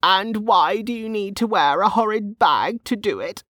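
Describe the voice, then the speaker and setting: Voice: medium pitch, animated, measured pace, sharp tone, no room sound, clean studio sound, pitched above the performer's own voice. A narrator voicing a teasing, bawdy old woman who runs a market stall, with a knowing manner, in an audiobook. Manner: teasing, knowing, calls people girl and dear. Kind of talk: audiobook character dialogue.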